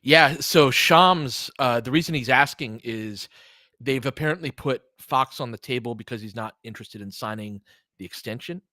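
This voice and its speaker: Casting: American male sports streamer, bright forceful voice, close dry sound, informal play-by-play mixed with chat. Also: mid-range voice, moderate pace, dry recording. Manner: conversational, reacting to chat comments. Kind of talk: casual live sports commentary and chat.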